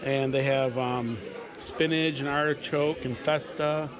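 A man's voice: mid-range voice, fairly even intonation, measured pace. Chatty man amid restaurant chatter. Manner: chatty, repeats words, laughs often. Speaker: travel vlogger. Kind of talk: casual restaurant walkthrough. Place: busy restaurant table indoors. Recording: crowded restaurant chatter behind.

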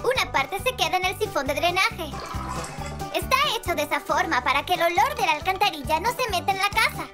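A woman's voice: extremely high pitch, expressive, brisk, over music. A woman voicing a tiny, confident, sassy little girl sprite in a dubbed children's cartoon, explaining things.

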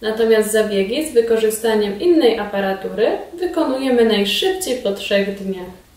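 A woman in her thirties giving formal, measured scripted instructions as a trainer in a small indoor room. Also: mid-range voice, animated, darkish tone. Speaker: instructor presenting a beauty device. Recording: roomy echo, distant mic.

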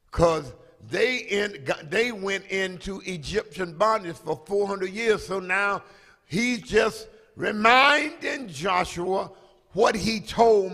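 An older man pastor preaching a sermon from a pulpit with a handheld microphone, calling out to the congregation and drawing out key phrases for emphasis. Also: sits high. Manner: preaching with call-and-response, rising to loud emphatic proclamation. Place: large church sanctuary, at a pulpit. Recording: long reverb of a large hall.

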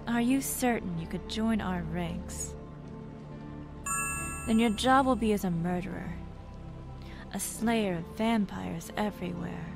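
A woman voicing a cold, remorseless vampire hunter in a video game dub, with a brooding, deliberate manner. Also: mid-range voice. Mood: mocking, menacing.

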